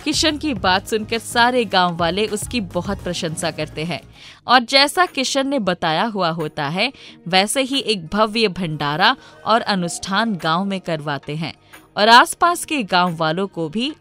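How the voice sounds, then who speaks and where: A woman's voice: mid-range voice, expressive, measured pace, sharp tone. A woman reading a scripted storybook narration as the storyteller. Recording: music under the voice. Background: background music.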